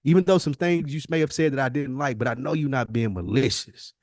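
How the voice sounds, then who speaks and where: A man with a mid-pitched voice talks as a podcast co-host, close on the microphone with very little room sound. His style is casual and slangy, but earnest, and he works through his thoughts with rhetorical questions. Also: fairly quick, a little mellow, no room sound. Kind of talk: casual reflective podcast conversation.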